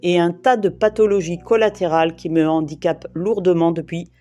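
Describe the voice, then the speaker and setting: Grave woman reading a measured testimony. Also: on the low side, fairly even intonation, warm tone, soft background music. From Île-de-France, France. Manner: grave, deliberate, long lists of short phrases. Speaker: interviewee giving testimony. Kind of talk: prepared first-person testimony, read aloud.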